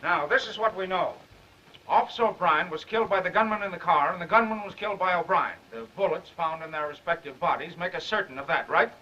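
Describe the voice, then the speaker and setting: A man's voice: high-pitched, fast, bright, forceful tone. An actor playing a brash, cocky young male reporter in a 1930s film. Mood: brisk, probing. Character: brash, cocky young newspaper reporter, eager and fast-talking. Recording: dull 1930s film soundtrack.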